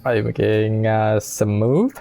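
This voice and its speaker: A game streamer giving casual let's-play commentary and reactions in a teasing, halting way. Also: medium pitch, steady tone, gravelly, mellow, faint music behind.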